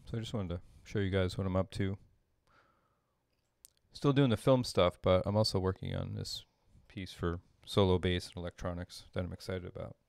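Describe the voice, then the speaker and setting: A middle-aged man giving a casual coding demo as a tutorial presenter, halting and thinking aloud as he works. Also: low-pitched, fairly even intonation, vocal fry, a little mellow, clean tone. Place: small home room, indoors.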